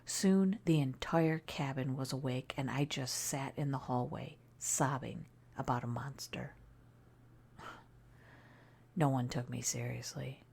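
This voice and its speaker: A woman narrating a scary story in a soft, breathy ASMR style, often near a whisper, hushed and deliberate. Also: rumbling low, steady tone.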